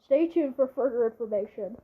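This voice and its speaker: A woman delivering an improvised mock emergency alert announcement in a halting way, often repeating herself and restarting. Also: on the high side, phone-quality sound, a little hiss or hum.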